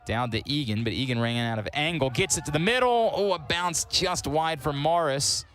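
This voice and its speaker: A man's voice: a little high, soft background music. A man calling live play-by-play on a sports broadcast, with wry asides between plays. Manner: quick, excitable calls on big plays. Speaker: sports commentator.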